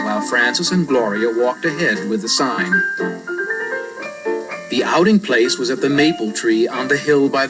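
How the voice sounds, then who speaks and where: A man's voice: mid-range voice, rough recording. A man reading scripted narration from a children's storybook.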